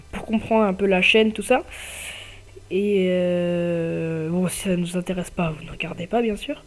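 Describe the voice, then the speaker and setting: A man with a slight cold giving casual, hesitant commentary over his own gameplay as channel host. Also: sits high. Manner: hesitant, many filler pauses.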